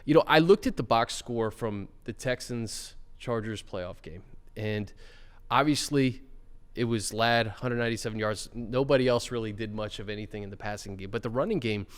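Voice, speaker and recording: A man hosting a sports talk show, moving from an upbeat welcome into relaxed, conversational football analysis. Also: on the low side, normal speed, clear, resonant tone, in a large hall. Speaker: podcast host.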